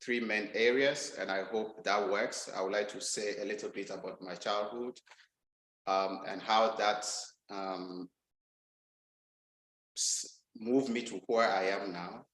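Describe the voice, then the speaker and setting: Man from Ghana, guest speaker, low voice, halting, roomy echo. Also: steady tone, a bit bright. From Ghana, Volta region. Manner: hesitant, many ums and restarts. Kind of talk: casual online talk.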